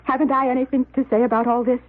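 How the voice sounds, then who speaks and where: Insecure, aggrieved young daughter, halting and husky, narrow vintage radio recording with faint music. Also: female voice, high-pitched, level tone. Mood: aggrieved, pleading. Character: miser's grown daughter, sardonic, aggrieved, insecure. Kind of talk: radio drama acting.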